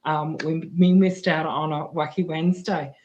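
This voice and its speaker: A woman with a husky, tired voice hosting a livestream news talk, chatty and full of fillers and restarts. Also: sits low, steady tone, normal speed, a little mellow, highs cut off. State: husky voice from talking too much. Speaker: guest news presenter.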